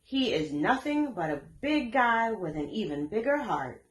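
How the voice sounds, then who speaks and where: A woman's voice: mid-range voice, sing-song, measured pace, pitched above the performer's own voice. A woman voicing an earnest, encouraging young prince from a children's storybook. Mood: reassuring. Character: loyal, reassuring young prince. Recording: echoey room.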